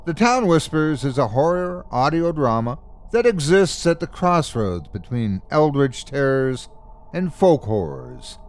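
A man hosting a horror podcast, reading a scripted introduction with theatrical, deliberate emphasis. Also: on the high side, sing-song, a little mellow. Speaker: podcast host.

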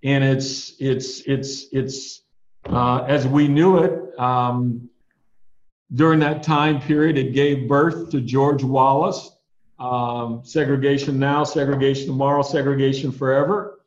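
Older male attorney guest, measured storyteller, muffled and echoey sound. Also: mid-range voice, steady tone. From USA, Ohio and Alabama. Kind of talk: reflective storytelling interview. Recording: dull, roomy recording.

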